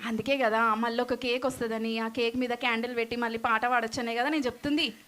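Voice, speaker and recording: A woman's voice: a little high, fairly even intonation, fast talker, fairly bright tone, natural voice. A woman voicing a naive, boastful child puppet in a children's church show, speaking. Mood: naive, chatty. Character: Goldie, chatty naive excitable child puppet, girl.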